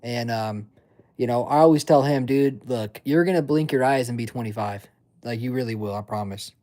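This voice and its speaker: A man's voice: medium pitch, moderate pace, darkish tone. A man in his twenties chatting casually on a livestream, reading chat and rambling in loose slang. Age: 20s. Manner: rambling, filler-heavy slang. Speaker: livestream host.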